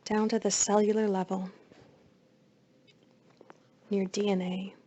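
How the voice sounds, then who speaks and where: A woman leading a guided meditation in a soothing, hushed voice, with phrases often trailing into breath. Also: mid-range voice, flat delivery, slow pace, muffled recording, a little hiss or hum.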